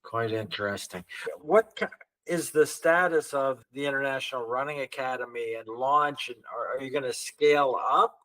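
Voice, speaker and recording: A man of middle pitch hosts an interview conversation. He asks easygoing questions and fills in with short acknowledgements while his guest talks. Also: moderate pace, rough recording. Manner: brief backchannel, relaxed questions. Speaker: podcast host.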